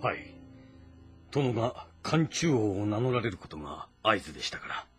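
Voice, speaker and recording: An actor playing a composed, calculating and loyal strategist in a historical game drama, speaking formally and deliberately. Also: male voice, fairly low pitch, big pitch swings, fairly bright tone, husky, faint music behind, full character voice. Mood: calm, reporting. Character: composed, calculating, loyal male strategist advising his lord.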